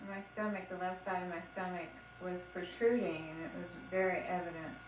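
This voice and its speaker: A young woman, pregnant, answering her husband's questions on a home video in brief, soft-spoken replies. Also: lowish voice, fairly even intonation, brisk, recorded from a distance, a little hiss or hum. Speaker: expectant mother on home video. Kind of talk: casual conversation. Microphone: camcorder mic. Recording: echoey room on lo-fi VHS tape.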